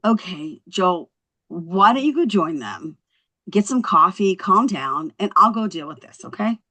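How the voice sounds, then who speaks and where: Breezy, animated young housewife acted by a woman. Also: fairly low pitch, a little slow, full character voice. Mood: coaxing. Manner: chatty, placating, wry. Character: breezy, quick-witted, coaxing young housewife. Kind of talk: script table read.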